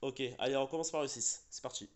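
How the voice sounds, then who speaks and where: A man talks through a game session in a loose, rambling way, full of fillers and restarts. He sounds a little congested, as if sick. His voice sits at an ordinary middle pitch. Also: quick pace. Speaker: gaming channel host. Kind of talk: casual gaming chat.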